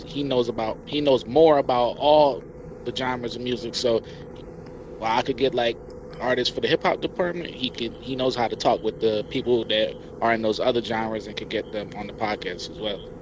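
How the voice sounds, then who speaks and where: A man's voice: mid-range voice, moderate pace, highs cut off, a little hiss or hum. A man from Hartford, Connecticut, a podcast guest talking laid-back with casual slang. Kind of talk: casual interview chat.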